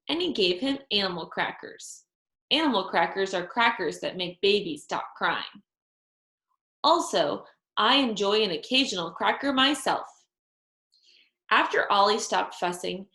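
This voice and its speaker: A woman reading a children's storybook aloud with deliberate, dramatized, expressive phrasing for young listeners. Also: mid-range voice. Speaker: storybook reader. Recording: echoey room.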